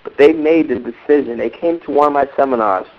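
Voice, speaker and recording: Hoarse male coach, emphatic and repetitive, on a dull lo-fi teleseminar line. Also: mid-range voice, a little fast. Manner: emphatic, repeats words for stress. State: hoarse from a cold. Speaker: music business coach. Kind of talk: motivational teleseminar talk.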